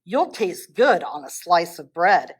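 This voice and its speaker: Big scary-but-dim storybook monster done by a lady, slow and amazed, pitch all over. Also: very animated, slightly rough, exaggerated voice. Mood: menacing. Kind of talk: children's storybook reading.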